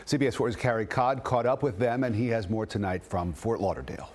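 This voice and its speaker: A man's voice: fairly low pitch, fairly quick. A man with an American accent presenting the news as a television anchor.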